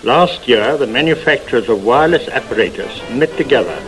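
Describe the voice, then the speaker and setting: A formal broadcasting official of the 1920s, played by a man, with a medium-pitched voice. He delivers a measured, prepared address in a radio play, with music clearly playing behind. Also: animated, fairly bright tone, natural voice, rough recording.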